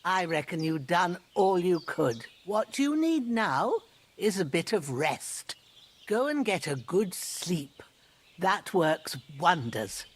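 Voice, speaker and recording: A woman's voice: on the low side, sing-song, slow, slight background noise. An actress playing an older village herbalist mother in a game, chatty and reassuring in rustic speech.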